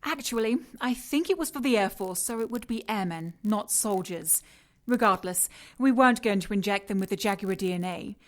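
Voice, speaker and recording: A woman's voice: medium pitch, normal speed, natural voice. Woman acting a cool, condescending female scientist. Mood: clinical, matter-of-fact. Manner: clinical, precise, condescending, teasing. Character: cold, mocking, seductive female scientist in charge of experiments. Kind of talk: audio drama acting, sci-fi noir.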